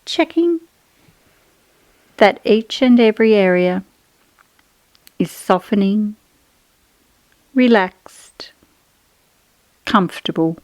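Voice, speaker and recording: Woman, yoga nidra guide, soothing, measured, instructional, pausing between phrases, mid-pitched, dry close recording. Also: animated, a little mellow, no room sound, rough recording. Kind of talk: guided relaxation meditation.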